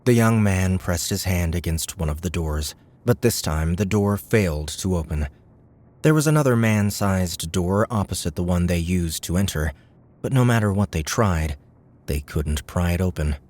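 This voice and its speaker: A man narrates an adventure audiobook in a low-pitched voice. He delivers scripted third-person prose as a storyteller. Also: lively intonation, moderate pace, darkish tone.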